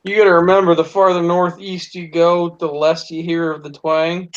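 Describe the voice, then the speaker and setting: Man, faint Southern twang, quick interjections, higher pitch, muffled sound. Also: flat delivery. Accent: subtle Southern twang. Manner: chimes in with short remarks. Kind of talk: casual late-night chat.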